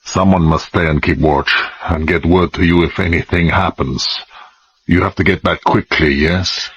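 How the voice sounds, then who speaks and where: An actor playing a wry, kindly older gentleman, courteous and deliberate in his speech. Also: sits low, fairly even intonation, husky, no room sound, lo-fi sound, exaggerated voice. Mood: matter-of-fact.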